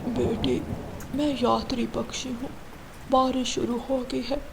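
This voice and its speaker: A woman's voice: on the high side, little pitch movement, a little slow, gravelly, noisy background. A woman voicing a kindly travelling bird who seeks shelter, in a cartoon. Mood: pleading.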